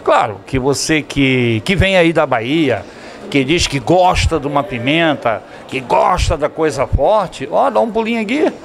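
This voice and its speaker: A man's voice: a little high, animated, measured pace, vocal fry. A middle-aged man hosting a TV show, enthusiastically interviewing and promoting to viewers from inside a restaurant on a handheld mic. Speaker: TV show host. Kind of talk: upbeat on-location interview. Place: indoor restaurant dining room. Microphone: handheld microphone.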